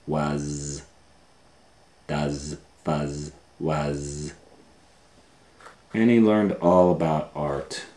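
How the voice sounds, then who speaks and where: Storytime host: man, very low voice, emphatic drawn-out read-aloud delivery, roomy echo. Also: a little mellow. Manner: drawn-out emphatic phrasing with asides. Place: indoor room, reading a book on a table. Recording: roomy, echoey indoor sound.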